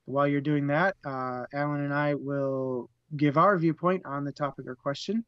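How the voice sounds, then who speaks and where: A man's voice: fairly high pitch, steady tone, normal speed, clean tone, dry recording, muffled recording. A man co-hosting a live book discussion stream, chatty and laughing often, sometimes tripping over his words. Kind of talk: casual live-stream chat, plugs and rundown.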